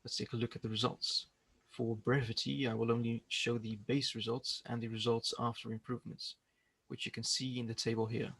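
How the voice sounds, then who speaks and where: A man giving a prepared research presentation in a measured, explanatory way. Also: mid-range voice, flat delivery, vocal fry, a bit bright, breathy edge.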